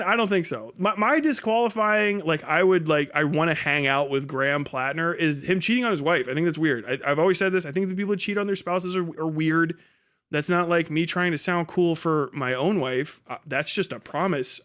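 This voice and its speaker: Guy livestreamer talking politics, kinda higher voice, swears a lot, blunt, rambly and keeps restarting sentences. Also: a bit bright. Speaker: livestream host. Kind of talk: casual opinionated political commentary on a livestream.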